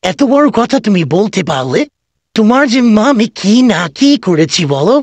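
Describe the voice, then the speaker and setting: A woman's voice: mid-range voice, sing-song, normal speed, a little mellow, breathy edge, no room sound. A woman voicing a whiny, aggrieved old grandfather in a comic cartoon, indignant and pleading with melodrama. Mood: hurt, indignant. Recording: noisy amateur cartoon dub with clicks.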